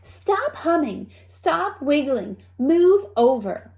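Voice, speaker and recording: Woman voicing an excitable, high-pitched old mother hen. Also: takes it slowly, gravelly, echoey room, heavily acted. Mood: irritable. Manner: exclaiming, emphatic.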